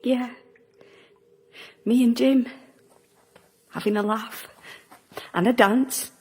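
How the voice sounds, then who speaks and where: A woman playing a guarded, defiant young woman in a naturalistic audio drama, with a husky voice. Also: on the high side, fairly even intonation, slow, a little mellow, exaggerated voice. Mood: guarded, casual.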